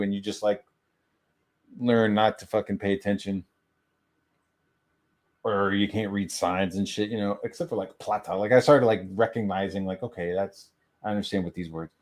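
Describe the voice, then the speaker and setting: American guy streamer, kinda low voice, just rambling stories, swears a lot, keeps restarting. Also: steady tone, a little fast, darkish tone. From United States. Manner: profane, slangy, repeats himself and restarts often. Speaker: livestream host. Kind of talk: rambling casual chat, telling personal anecdotes.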